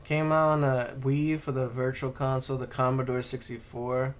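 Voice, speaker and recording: A man's voice: medium pitch, level tone, normal speed. A man co-hosting a casual live stream chat in a blunt, offhand way. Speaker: podcast co-host. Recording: slight background noise.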